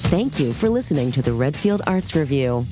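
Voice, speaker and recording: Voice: mid-range voice, very animated, brisk, music in the background. An announcer reading a scripted show outro.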